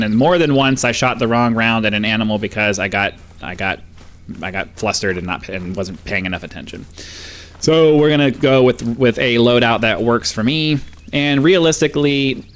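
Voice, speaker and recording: A man's voice: mid-range voice, fairly bright tone. Man casually commentating on a hunting game. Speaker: gaming video host. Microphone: close microphone.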